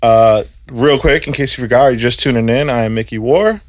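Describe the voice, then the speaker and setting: A man's voice: mid-range voice, fairly quick, no room sound. A man hosting a DJ podcast in casual chat, joking and laughing easily. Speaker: podcast host.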